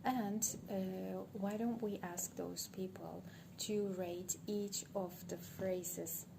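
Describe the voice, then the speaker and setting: A woman with a Turkish accent works through an idea out loud, hesitant and pausing often. She speaks slowly in a flat, even tone. Steady ambient noise sits behind her voice. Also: mid-range voice, gravelly. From Turkey. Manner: hesitant, thinking aloud with frequent pauses. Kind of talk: unscripted spoken monologue for social media.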